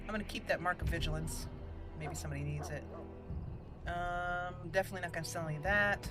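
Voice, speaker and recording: A woman hosts her gaming channel, chatting through her play session and thinking aloud. Her talk is casual, with easy laughs. Game music plays clearly behind her voice. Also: lowish voice, steady tone, quick pace. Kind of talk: casual let's-play commentary. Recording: voice-over over loud game music.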